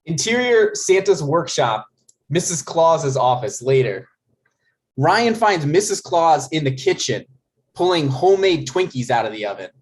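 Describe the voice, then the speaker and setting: Slightly high-voiced man narrating. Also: very animated, unhurried, a little hiss or hum. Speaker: narrator reading stage directions. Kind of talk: table read narration of a comedy script.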